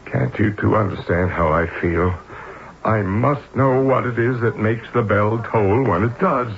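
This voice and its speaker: A wealthy, tormented man in an old radio mystery, prickly and melodramatic. His voice sits fairly low, brooding and agitated. The recording is dull, with a faint hiss. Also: a little slow, creaky. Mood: anguished. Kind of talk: 1970s radio mystery acting.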